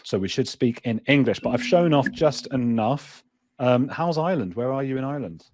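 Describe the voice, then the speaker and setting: A man's voice: mid-range voice, animated, a little fast, darkish tone, a little hiss or hum. A man hosting a remote interview, upbeat and chatty, sometimes stumbling over his first words. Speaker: podcast host. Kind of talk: interviewer chat.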